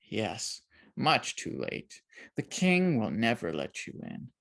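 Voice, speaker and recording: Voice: mid-range voice, wildly swooping pitch, slow pace, vocal fry, a bit bright, exaggerated voice. A narrator voicing a sinister, sneering old man, a shadowy storybook villain who taunts and accuses with mocking questions.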